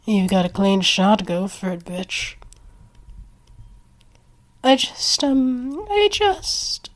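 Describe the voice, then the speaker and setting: A woman's voice: mid-range voice, sing-song, very slow. A woman performing an acted ASMR roleplay.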